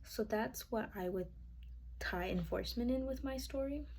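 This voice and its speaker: A woman's voice: a little high, normal speed, vocal fry, darkish tone, breathy edge. A young woman whose first language is Spanish, giving an informal class presentation from her notes, casual with fillers and self-corrections. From United States. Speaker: student.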